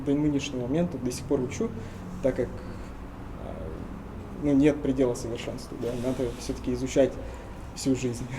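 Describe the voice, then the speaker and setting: A young man from Tuva in Russia, answering interview questions earnestly and often pausing with hesitation sounds. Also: mid-range voice, mellow, slight background noise, rough recording. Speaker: interview guest.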